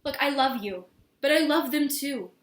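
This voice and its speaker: A woman performing a stage monologue as an earnest, flustered young woman in love, speaking haltingly with stammers. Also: high-pitched, expressive. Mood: anguished, pleading. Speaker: amateur actor performing a monologue. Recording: echoey room with faint noise.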